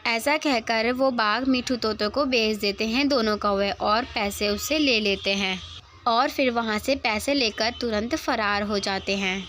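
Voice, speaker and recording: A woman storyteller with a fairly high voice narrates a children's cartoon tale from a script. There is faint noise behind her. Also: a little slow, fairly bright tone.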